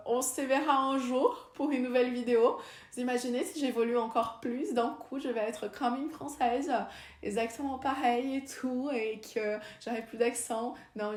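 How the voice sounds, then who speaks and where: A woman's voice: on the high side, fairly even intonation, normal speed, darkish tone. A young woman with a Brazilian Portuguese accent, a native Portuguese speaker, talking casually to camera in French, hesitant, with many fillers and restarts. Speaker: vlogger. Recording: echoey room.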